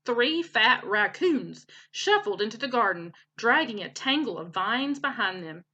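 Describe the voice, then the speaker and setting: A woman reading a children's story aloud as a teacher would, in an expressive storytelling style. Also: a little high, a little slow, muffled recording. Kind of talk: animated children's storybook narration.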